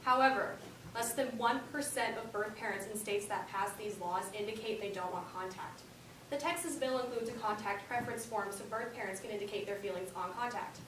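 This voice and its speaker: A woman delivering scripted, informational narration on stage. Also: medium pitch. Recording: echoey room, distant mic, noisy.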